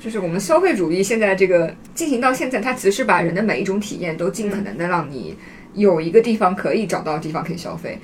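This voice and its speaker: A woman with a mid-pitched voice speaks fast and with lively intonation. She sounds analytical as she chats as a panelist in a casual podcast discussion. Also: a little hiss or hum.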